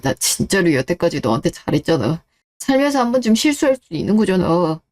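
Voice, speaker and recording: Woman playing a pleading husband, soft dark tone. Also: on the low side, big pitch swings, a little fast. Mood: pleading, apologetic. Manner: pleading, self-justifying. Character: pleading, defensive disgraced husband, evasive and desperate. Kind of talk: radio-drama story dialogue.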